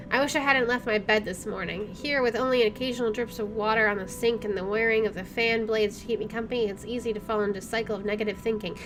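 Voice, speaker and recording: A woman's voice: a little high, noisy background. A woman playing a visual novel, reading the text aloud dramatically and reacting with casual commentary. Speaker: gamer doing a playthrough. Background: soft game music.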